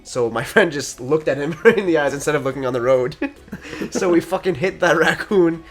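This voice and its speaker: A man's voice: a little high, expressive, fairly quick. A young man telling a casual story, giggly and swearing freely as he talks. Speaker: gaming channel co-host. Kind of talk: casual animated storytelling chat. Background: background music.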